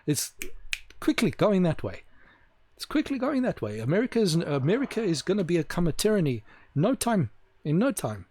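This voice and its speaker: A man's voice: sits high, very animated, a little mellow, dry recording. A man giving an opinionated solo monologue to camera, emphatic and repeating his points for stress. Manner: slows down to stress key words. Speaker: video host.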